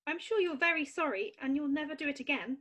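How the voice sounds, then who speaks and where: Woman doing a sweet trusting little girl, really high voice, polite n earnest. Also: expressive, quick pace, a bit bright. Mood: reassuring. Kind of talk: amateur screenplay readthrough.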